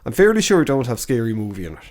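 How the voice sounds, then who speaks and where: A man's voice: medium pitch, very animated, rapid-fire, a little mellow. An Irish man co-hosting a podcast in casual chat, rambling, swearing casually and losing his train of thought. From Ireland. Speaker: podcast co-host.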